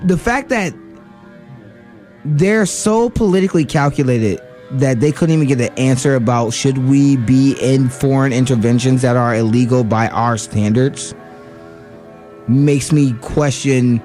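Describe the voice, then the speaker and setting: A man's voice: mid-range voice, measured pace, mellow, faint music behind. A man co-hosting a radio show, giving heated political commentary with many fillers and restarts.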